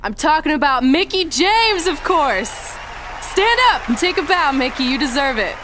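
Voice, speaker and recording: A woman's voice: high-pitched, lively intonation, moderate pace, crowd noise, noisy background. A woman playing a confident, blunt wrestler delivering a promo in a video game. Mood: proud. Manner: blunt, challenging. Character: defiant, confident woman wrestler challenging rivals.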